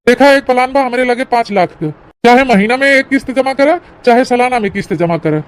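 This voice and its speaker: A woman's voice: fairly high pitch, fast, natural voice. A woman voicing a patient, persuasive village insurance agent, a man, in an Awadhi comedy cartoon, explaining things in colloquial salesman style with an Awadhi accent. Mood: businesslike, patient.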